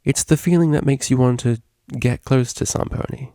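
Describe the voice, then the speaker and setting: A man's voice: mid-range voice, moderate pace, warm tone, no echo, clean studio sound, voice pushed up, lightly acted. A man voicing a prim, easily exasperated female cellist pony with a proper, wordy, huffy manner in a story reading. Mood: coyly probing.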